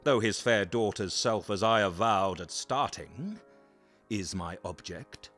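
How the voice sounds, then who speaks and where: Man acting imperious duke, slightly low voice, firm, formal, courtly menace, period audio drama. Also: takes it slowly, a bit bright, faint music behind. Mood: imperious courtesy.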